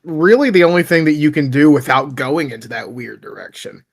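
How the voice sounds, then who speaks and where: A man's voice: a little high, a little fast, creaky. A man in casual chat with friends, giving blunt opinions and swearing freely. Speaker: podcast co-host.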